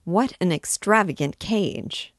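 A man's voice: high voice, slow, a bit bright, no echo, clean studio sound, voice pushed up. A woman voicing a cold, penny-pinching medieval king who speaks in curt, dismissive remarks. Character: stingy old medieval king, cold and calculating.

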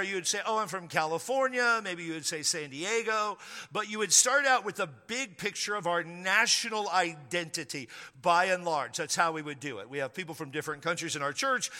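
A man's voice: high-pitched, pushed and bright. A man preaching a sermon as pastor of his church, driving points home with emphatic repetition. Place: church sanctuary. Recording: long reverb of a hall.

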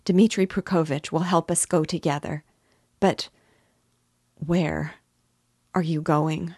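A woman's voice: deep voice, steady tone, slow pace, warm tone, slightly rough, no room sound, clean studio sound, heavily acted. Woman voicing an anxious, tearful mother. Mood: pleading. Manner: pleading, emotional, breaking into sobs. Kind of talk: audiobook character reading.

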